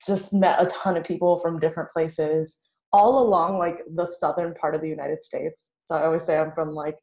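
A woman's voice: low voice, steady tone, moderate pace, darkish tone, rough recording. A woman whose first language is Vietnamese, a songwriter guest telling her story in a candid, chatty way, full of "like" and little laughs. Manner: chatty, filler-heavy, frequent "like" and laughs.

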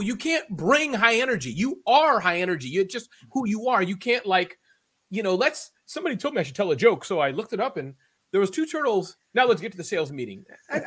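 A man in casual conversation, energetic and loud, projecting his voice and tossing in playful jokey asides. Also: extremely high pitch.

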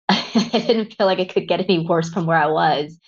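Reflective low-voiced woman in her thirties. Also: normal speed, muffled recording. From Vietnam, raised in Texas. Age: 30s. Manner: candid, reflective. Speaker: guest. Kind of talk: reflective interview talk.

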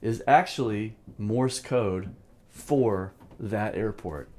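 Man, fifties, fairly low pitch, informal lesson style, personal reminiscing, emphatic repetition. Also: slow, darkish tone. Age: 50s. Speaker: drum teacher, host.

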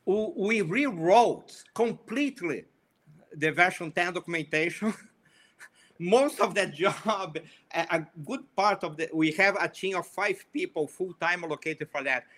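A man with a mixed Italian and Portuguese accent talks with eager enthusiasm, often stumbling and restarting his phrases. His voice sits a little high, with a bright, forceful edge. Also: expressive, a little slow. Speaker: panel guest. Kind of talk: casual discussion.

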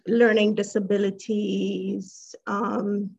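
Slow-spoken woman from Trinidad and Tobago. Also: medium pitch, level tone, creaky, breathy edge, lo-fi sound. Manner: reflective, unhurried, with soft laughs and hesitations. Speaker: guest. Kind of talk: personal life story in an interview.

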